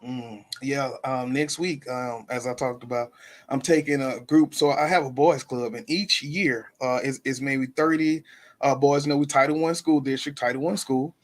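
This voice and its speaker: A man's voice: mid-range voice, fairly even intonation, moderate pace. A man hosting a podcast, an educator, talks in a friendly way with many fillers and frequent agreeing interjections.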